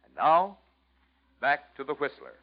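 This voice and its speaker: A man's voice: a little high, a little slow. A man announcing in polished, emphatic 1940s radio commercial style, selling as he speaks. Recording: muffled vintage radio recording, faint music.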